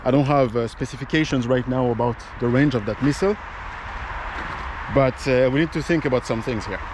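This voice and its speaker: Man, non-native english, casual commentary host, halting with fillers, outdoors, street traffic noise behind. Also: medium pitch. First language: not English. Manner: conversational, frequent fillers and restarts.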